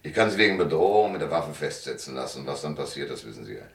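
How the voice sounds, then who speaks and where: A man's voice: extremely low pitch, expressive, quick pace, echoey room. An actor playing a sardonic, self-assured private detective in a German radio crime drama, narrating his case and talking in a dry, mocking way. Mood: cool, threatening.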